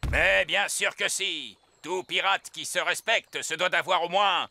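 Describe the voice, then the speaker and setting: Gravelly, theatrical pirate elf. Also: male voice, sits high, measured pace, sharp tone, dry recording, heavily acted. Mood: boastful, mysterious. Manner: dramatic, swooping storytelling. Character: small old seafaring pirate elf uncle, theatrical storyteller. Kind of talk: children's cartoon voice acting. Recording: music behind the voice.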